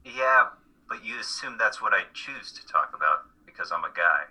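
A man playing a blunt, earnest college student in a play reading, husky and matter-of-fact. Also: on the low side, lively intonation, moderate pace, sharp tone, heavily acted, rough recording. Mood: dry, defensive. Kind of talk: dramatic reading of a stage play.

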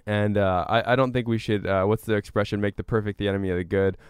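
Low, clear-voiced man explaining things. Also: steady tone, fast talker, dry recording, studio quality. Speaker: interview guest, climate advocate. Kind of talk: conversational explanatory interview.

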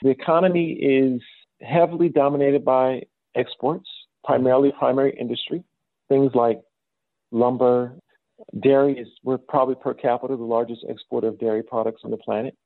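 A middle-aged man with an American accent answers interview questions in a conversational, explanatory way. His voice has a creaky edge at an average male pitch. The sound is dry and muffled, like a remote call. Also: fairly even intonation, no echo. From south side of Chicago, United States. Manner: explanatory, measured, often says "you know". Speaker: interview guest, business school dean.

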